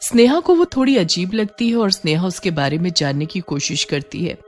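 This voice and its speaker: A woman's voice: lowish voice, sing-song, normal speed, soft background music. A woman working as a story narrator, reading a scripted horror tale.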